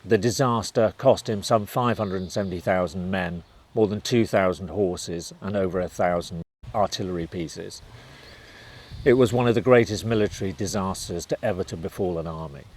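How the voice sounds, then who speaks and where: A man's voice: deep voice, normal speed. A man reading scripted narration for a historical documentary.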